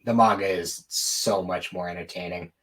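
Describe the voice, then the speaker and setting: A man's voice: low-pitched, steady tone, moderate pace, fairly bright tone. A man co-hosting a podcast, talking casually and offhandedly in an informal conversational way. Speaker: podcast co-host. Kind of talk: casual chat about anime and games.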